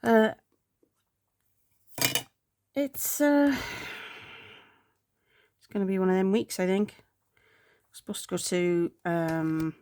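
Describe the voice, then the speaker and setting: A woman's voice: mid-range voice, animated, slow, pauses often, mellow, no echo. A woman chatting casually while planning, congested and with a sore throat, rambling and sometimes murmuring to herself. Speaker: planner vlogger.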